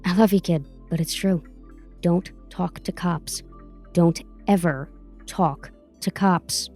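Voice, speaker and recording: Actress as a low, mellow, shrewd female lawyer. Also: faint music behind. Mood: firm. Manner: firm, deliberate, dry. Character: shrewd, brisk, cynical, expensive female lawyer. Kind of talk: audio drama acting.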